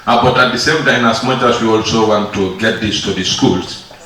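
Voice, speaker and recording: Man, low but forceful voice, formal workshop question, distant and echoing. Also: moderate pace. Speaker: workshop participant asking a question. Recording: echoey room, distant mic, faint noise.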